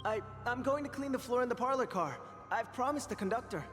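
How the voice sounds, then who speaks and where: A man's voice: very high voice, lively intonation, brisk. A man playing a timid, earnest young tinkerer in a game, hesitant and stammering. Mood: shy, earnest. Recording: game audio, long reverb, loud music.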